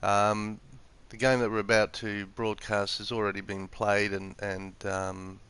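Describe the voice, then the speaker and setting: A man's voice: fairly low pitch, monotone, a little slow, a bit bright, clear, resonant tone. A congested man with the flu giving casual, hesitant live game commentary on a headset microphone, explaining things as he goes. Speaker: gaming live streamer. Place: room next to an office, windows open. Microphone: PS4 gaming headset microphone.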